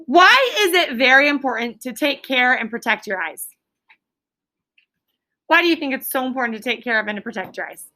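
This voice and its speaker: A woman's voice: high-pitched, lively intonation, a little fast, sharp tone. A woman elementary school teacher leading an interactive lesson, asking questions and repeating answers with emphasis in an instructive way.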